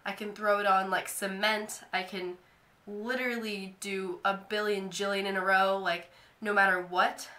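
A woman's voice: medium pitch, flat delivery, moderate pace, sharp tone, roomy sound. Chatty young woman, stuffy nasal voice. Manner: chatty, lots of "like" fillers. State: nasal from a cold. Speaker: vlogger. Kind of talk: casual talk to camera. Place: bedroom, indoors.

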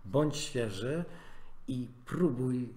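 A man's voice: medium pitch, lively intonation, takes it slowly, dark tone. A man coaching in a podcast conversation, giving earnest advice with many fillers and restarts. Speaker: life coach.

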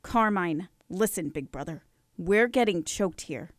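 An actress playing an urgent younger sister of a mob boss in a noir radio drama. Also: female voice, lowish voice, animated, unhurried, no room sound, clean studio sound. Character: urgent female mobster, the boss's younger sister.

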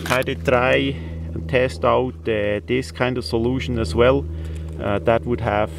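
A non-native English-speaking man giving a casual hands-on demonstration outdoors, halting often mid-phrase. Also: mid-range voice, steady tone, gravelly. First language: not English. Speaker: presenter of a DIY radio antenna video. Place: outdoors in windy weather. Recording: outdoor camera audio with faint noise.

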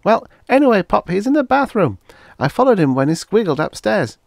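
A man's voice: high voice, big pitch swings, a little fast, creaky, no room sound, studio quality, voice pushed up, exaggerated voice. A man voicing an eager, blurting little boy in a story reading. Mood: excited, blurting.

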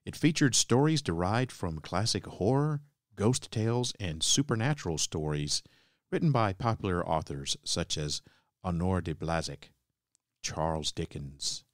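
A man hosting a podcast, reading a scripted introduction to old radio plays in measured phrases. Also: deep voice, no room sound, studio quality. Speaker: podcast host.

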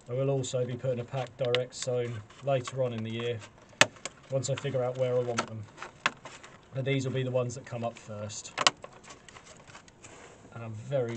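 A man in his forties with a British accent giving a casual, chatty, self-deprecating gardening demonstration to camera. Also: mid-range voice. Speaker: allotment gardening vlogger. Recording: clearly noisy camera sound with clicks.

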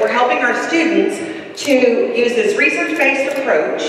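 Enthusiastic female presenter, slightly high voice, echoey distant hall sound. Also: slight background noise. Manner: upbeat, enthusiastic, asks and answers her own questions. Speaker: school program presenter. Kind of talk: presentation to parents. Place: large school cafeteria hall.

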